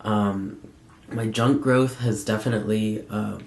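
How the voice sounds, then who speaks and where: A young man with a fairly low voice talks casually to his viewers in a personal vlog style. His delivery is candid and conversational, with halting pauses as he gathers his thoughts. The sound is clearly echoey, as in a bare room. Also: steady tone, a little mellow. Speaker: vlogger.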